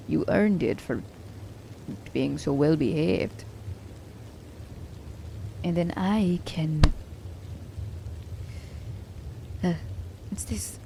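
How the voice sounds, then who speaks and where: A sly female assassin, played by a voice actress, with a low and very mellow voice. She is commanding and haughty, teasing her captive like a pet in intimate ASMR roleplay. A faint rumble sits behind her. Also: vocal fry, exaggerated voice, poor audio. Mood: softening, teasing.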